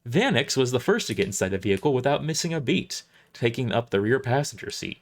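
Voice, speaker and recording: Animated man narrating an audio drama. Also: mid-range voice. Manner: halting, often rereads lines. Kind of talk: dramatic first-person scripted narration, read live.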